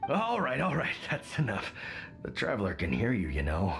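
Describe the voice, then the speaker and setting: A man's voice: lowish voice, huge pitch swings, moderate pace, creaky, fairly bright tone, faint music behind, heavily acted. A man playing a sheepish, placating middle-aged hunter father who likes his drink in a video game.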